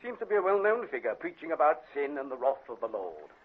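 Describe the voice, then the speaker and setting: Husky, clipped British police inspector. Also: male voice, on the high side, sing-song, fast, phone-quality sound. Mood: matter-of-fact. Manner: telegraphic, drops first words of sentences. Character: clipped, hurried male police chief inspector. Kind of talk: British radio drama acting. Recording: thin, band-limited old radio drama.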